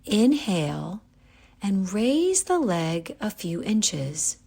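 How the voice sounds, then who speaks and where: A woman guiding a yoga nidra relaxation, giving calm, gentle, unhurried instructions with pauses. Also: medium pitch, gravelly, slightly rough. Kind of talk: guided relaxation meditation.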